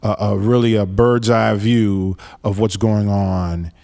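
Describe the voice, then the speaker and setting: Earnest man in his forties, smooth voice. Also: lowish voice, fairly even intonation, a little mellow, long reverb tail. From New York, raised in Florida. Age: 40s. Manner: earnest, halting with many restarts and fillers. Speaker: podcast host. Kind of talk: solo podcast monologue.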